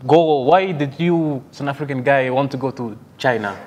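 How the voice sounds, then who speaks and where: A man interviewing, asking halting questions with frequent restarts and fillers. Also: medium pitch, a little mellow, in a large hall. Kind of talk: casual interview chat.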